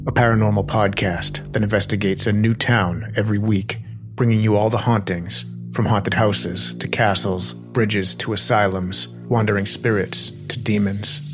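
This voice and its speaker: Low voiced guy doing a scripted podcast ad, music bed behind him. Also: fairly even intonation, normal speed. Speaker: podcast promo narrator. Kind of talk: scripted ad read.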